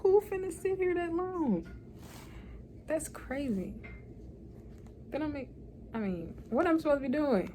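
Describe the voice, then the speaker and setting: A woman's voice: high-pitched, animated, measured pace, long pauses, warm tone, a little hiss or hum. A young woman, chatting candidly into her phone camera in a hospital room, often laughing. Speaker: vlogger. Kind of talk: casual hospital vlog.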